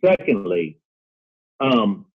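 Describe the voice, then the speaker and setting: A man speaking earnestly as a guest on a discussion show, halting and often repeating words. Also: lowish voice, sing-song, breathy edge. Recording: dull lo-fi call audio.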